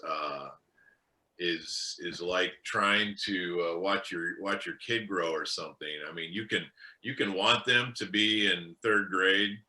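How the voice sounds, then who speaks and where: A man's voice: sits low, pushed and bright. A male radio co-host talking casually and thoughtfully, often restarting his sentences. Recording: echoey room.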